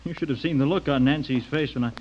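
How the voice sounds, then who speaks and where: A man plays a jovial, blunt middle-aged father in a radio play. His voice sits fairly high for a man and has a teasing, talkative manner. The recording is dull and lo-fi. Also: fast, slight background noise, natural voice. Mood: amused, blunt. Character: chatty, tactless middle-aged father, insurance salesman. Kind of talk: radio drama acting.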